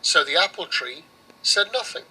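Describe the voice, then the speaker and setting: A man narrating a children's storybook in a measured, expressive way. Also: a little high, husky, low-quality recording.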